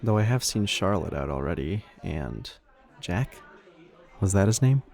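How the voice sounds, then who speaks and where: A man playing a casual, slightly defensive younger helper in an audio drama, speaking a little hesitantly in a low, creaky voice. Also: sing-song, unhurried, a little mellow, exaggerated voice. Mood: casual, uneasy. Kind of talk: audio roleplay acting.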